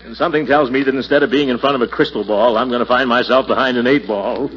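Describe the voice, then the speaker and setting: An actor playing a pompous, blustery middle-aged uncle who hems and chuckles in a 1940s radio comedy. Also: male voice, medium pitch, fast, close to an everyday voice. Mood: reluctant, flustered. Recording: muffled, noisy 1940s radio recording.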